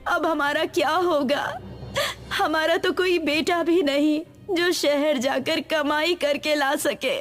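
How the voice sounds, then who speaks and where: A woman's voice: high voice, fairly even intonation, slow, soft background music, pitched above the performer's own voice. A woman voicing a poor woodcutter's worried village wife in a story. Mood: tearful worry.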